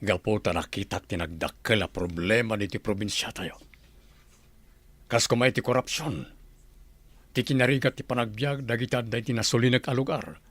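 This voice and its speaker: Principled middle-aged general turned candidate, Filipino accent, radio drama. Low, rough-edged, measured and firm. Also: male voice, a bit bright, no room sound. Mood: earnest.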